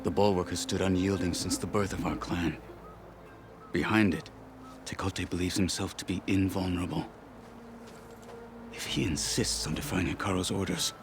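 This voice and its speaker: Very deep, grim warrior marshal. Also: male voice, background noise. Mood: bitter, scornful. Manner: terse, stern, weighty deliberate delivery. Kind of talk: dramatic video game cutscene acting.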